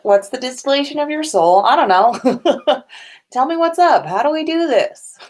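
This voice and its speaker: A woman's voice: sits high, lively intonation, normal speed, a little mellow. A woman hosting a casual livestream from indoors at home, chatting informally with viewers. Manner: informal, chatty, sprinkled with swearing and giggles. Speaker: podcast host on a livestream.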